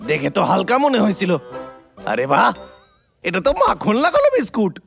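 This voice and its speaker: Cartoon schemer: money-hungry, excitable, creaky high voice. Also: male voice, moderate pace, full character voice. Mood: whining, hungry. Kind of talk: animated cartoon dubbing. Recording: music behind the voice.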